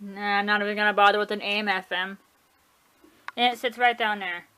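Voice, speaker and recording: A woman's voice: mid-range voice, fairly even intonation, fairly quick, bright, forceful tone, smooth. A woman casually showing off her collection at home, chatty and offhand, often correcting herself and shrugging things off. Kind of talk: casual home video tour. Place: room at home.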